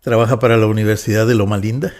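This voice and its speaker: A man in his fifties from northwest Mexico with a Mexican Spanish accent, a pastor talking calmly as a podcast guest and pausing often on "eh". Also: on the low side, a little hiss or hum. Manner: measured, with frequent "eh" fillers. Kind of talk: relaxed podcast interview.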